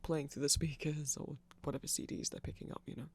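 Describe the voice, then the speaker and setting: A nerdy vampire shopkeeper played by a performer chats in an ASMR roleplay with a gravelly fry in the voice. The delivery is awkward and apologetic, full of stammers and rambling half-sentences, polite throughout. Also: medium pitch, little pitch movement, fast talker. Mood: shy, rambling. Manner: hesitant, flustered, stammering, apologetic.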